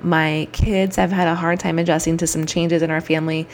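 Low, gravelly-voiced woman podcast host. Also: flat delivery, fairly quick, slight background noise. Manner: upbeat, chatty, promotional. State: slightly scratchy, froggy throat. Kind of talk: solo podcast monologue.

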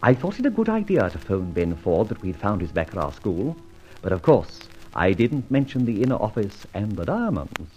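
Jaunty, animated gentleman adventurer. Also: on the low side, moderate pace, soft background music. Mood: brisk narration. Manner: jaunty, breezy, old-fashioned gentlemanly slang. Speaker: narrator and lead actor. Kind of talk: old-time radio serial acting and narration. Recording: muffled vintage radio recording, faint noise.

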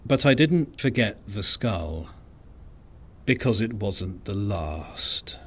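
A man giving scripted first-person narration of an eerie story in a measured, uneasy way. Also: lowish voice, lively intonation, breathy edge.

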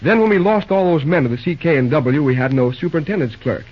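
An actor playing an earnest, self-pitying, hot-headed young railroad superintendent in an old-time radio drama, despairing in folksy slang. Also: male voice, fairly high pitch, expressive, fast. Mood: earnest, confiding. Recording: muffled old radio show recording.

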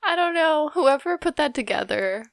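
A young woman co-hosting a casual podcast, hesitant and giggly with frequent restarts. Her voice sits a little high and sounds dry and close. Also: animated, normal speed, gravelly, no room sound. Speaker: podcast co-host. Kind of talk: casual chat.

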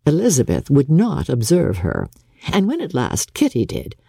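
A woman reads a classic novel aloud as an audiobook narrator. Her voice is low and husky with a mellow tone, rising and falling expressively as she tells the story in measured phrases. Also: huge pitch swings, no room sound, clean studio sound. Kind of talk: dramatic reading of classic literature.

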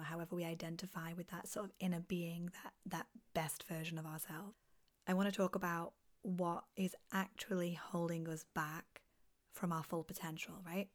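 A woman hosting a motivational self-help podcast, her voice husky and half gone as she loses it, talking in an encouraging way. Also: lowish voice. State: losing her voice. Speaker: podcast host.

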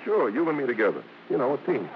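A man's voice: mid-range voice, very animated, fast talker. An American man playing a cocky, slangy, teasing vaudeville star in old radio drama style. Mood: confident coaxing. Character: brash, cocky, wisecracking male vaudeville hoofer and star. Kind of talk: 1940s-50s American radio drama acting. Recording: noisy, muffled old radio broadcast.

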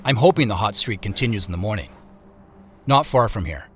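Introspective scientist with lively intonation. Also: male voice, lowish voice, a little fast, a little hiss or hum. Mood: reflective. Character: introspective male scientist narrating his own search. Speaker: lead actor and narrator. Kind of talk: first-person audio drama narration and dialogue.

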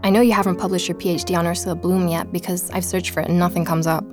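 An actress playing a curious, intent woman journalist who narrates an investigative audio drama in a measured, confiding way. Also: fairly low pitch, little pitch movement, over music, natural voice. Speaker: podcast host and narrator.

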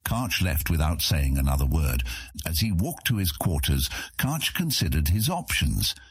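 A man narrates an epic fantasy audiobook in an extremely low voice. The tone is husky and the sound is dry, without room echo. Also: sing-song, unhurried.

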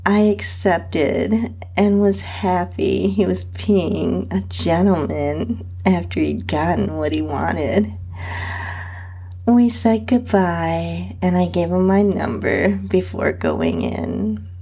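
Female narrator for intimate adult fiction: lowish, breathy and husky, with an expressive, swooping melody and lingering delivery. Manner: breathy, sensual, drawn-out delivery with soft moans. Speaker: podcast host and narrator reading own story. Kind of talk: intimate first-person erotic story narration.

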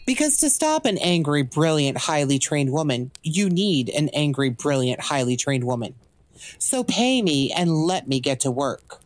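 A woman's voice: low-pitched, sing-song, a little slow, a little hiss or hum. A woman acting in an audio drama as a cocky legendary assassin and thief for hire, with smug, theatrical, drawling taunts. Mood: confident, menacing.

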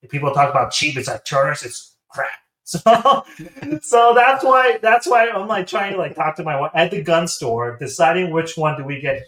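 A man with a Taiwanese accent speaks in a slightly high voice in an echoing room. He tells stories casually as a podcast guest, acting out bits of dialogue. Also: lively intonation, moderate pace, fairly bright tone. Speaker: guest, sponsored competitive shooter. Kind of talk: casual interview storytelling. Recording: roomy, echoing sound.

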